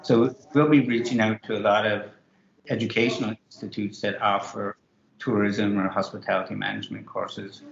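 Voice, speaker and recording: Low-voiced man on an echoey call. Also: flat delivery, moderate pace, vocal fry, crowd noise. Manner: loose, rambling, many restarts. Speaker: co-author. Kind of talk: virtual author interview. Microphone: video call. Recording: roomy, muffled video call.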